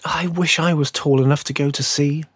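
A man's voice: on the high side, normal speed, darkish tone, no room sound, clean studio sound, voice pushed up, close to an everyday voice. A man voicing a young little fir tree from a storybook, wistful, eager and vain, with a plaintive way of talking. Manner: plaintive, yearning questions and boasts.

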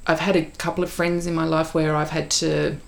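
A woman's voice: low-pitched, level tone, a little fast, vocal fry. A middle-aged woman co-hosting a podcast, chatting casually with a friend in a study at home. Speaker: podcast co-host. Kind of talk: casual chat between friends.

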